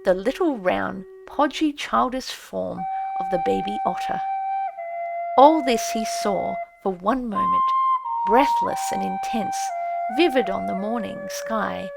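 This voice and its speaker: A woman narrating a literary audiobook in a solemn, expressive, dramatic storytelling manner. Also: medium pitch, measured pace, gravelly. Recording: prominent music under the voice. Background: music and effects.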